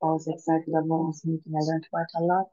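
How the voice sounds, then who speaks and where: A woman's voice: low-pitched, completely flat delivery, fast, mellow, no echo, dull audio. A woman from Jamaica with a Jamaican accent, speaking steadily as a meeting attendee. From Kingston, Jamaica.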